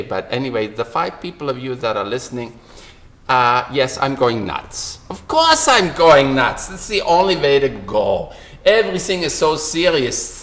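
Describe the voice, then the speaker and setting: A middle-aged man from Germany, rambling through a self-recorded vlog rant in an animated, self-mocking way that repeats phrases. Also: fairly high pitch. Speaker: artist vlogger.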